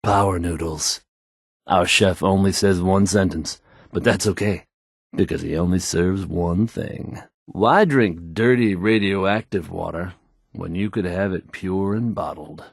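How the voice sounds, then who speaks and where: An actor playing a confident, smooth radio DJ in a post-apocalyptic game, reading announcements in a polished, showy way. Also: male voice, low-pitched, a little slow, dry recording, studio quality, heavily acted.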